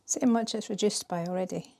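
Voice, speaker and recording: Scottish lady in her 30s talking while she cooks, relaxed, a few ums, mid pitch. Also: fast, vocal fry, very noisy. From Scotland. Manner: chatty, explanatory, with fillers. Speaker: vlogger. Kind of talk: casual narration while cooking. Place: home kitchen by a window.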